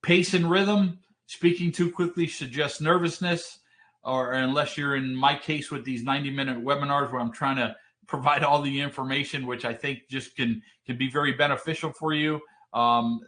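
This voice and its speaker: A man with a deep, commanding voice teaching a webinar, explaining points steadily and often checking in with a quick "right?". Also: a bit bright. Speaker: trainer. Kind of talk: webinar lecture.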